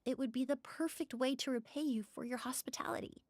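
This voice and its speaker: A woman playing a polite, composed young lady in a video game, speaking in a courteous, measured way. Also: sits high, darkish tone, no echo, clean studio sound.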